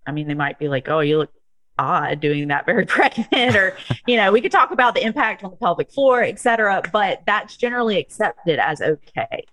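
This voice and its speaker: A woman's voice: medium pitch, lively intonation, fairly quick, a bit bright, no room sound. A woman in her thirties from the southern United States with a Southern accent, talking casually as a podcast guest, chatty, with lots of fillers. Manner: chatty, laughs often, lots of um and like. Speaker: guest, strength coach.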